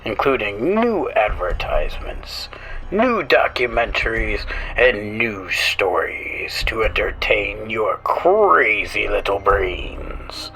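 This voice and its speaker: Slow, spooky-sounding male show host. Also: lowish voice, sing-song, gravelly, pushed and bright, low-quality recording. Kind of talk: scripted horror show introduction. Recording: music behind the voice.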